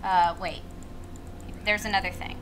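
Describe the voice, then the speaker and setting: A woman's voice: mid-range voice, steady tone, unhurried, a bit bright, a little hiss or hum. A woman co-hosting a casual game playthrough, thinking aloud hesitantly as she gives the other players directions. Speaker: gaming video co-host.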